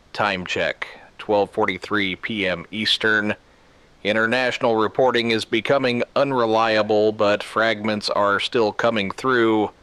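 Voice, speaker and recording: A man playing a grave emergency radio broadcaster in a scripted drama, speaking solemnly and deliberately in short, clipped sentences. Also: on the low side, bright, forceful tone, no room sound. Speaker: radio news host.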